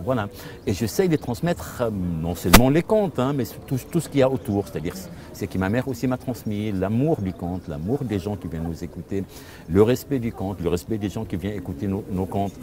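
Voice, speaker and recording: An older man from Lebanon, a storyteller whose first language is Arabic, answering interview questions thoughtfully and repeating words as he searches for them. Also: low-pitched. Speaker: storyteller, father. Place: outdoor festival.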